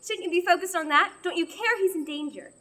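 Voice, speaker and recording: High bright voice, fast-talking, stubborn indignant young adventurer, radio drama, played by a young woman. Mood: indignant, exasperated. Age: young adult. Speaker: student actor. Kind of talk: radio play acting.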